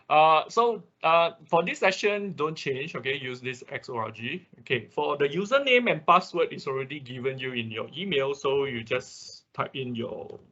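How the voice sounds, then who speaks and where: Guy running an online tech class, bit gravelly and husky, informal, keeps checking in, dull computer mic sound. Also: medium pitch, a bit bright. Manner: informal, checks in often with okay and right. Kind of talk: hands-on technical tutorial, walking through steps. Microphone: computer microphone in an online class.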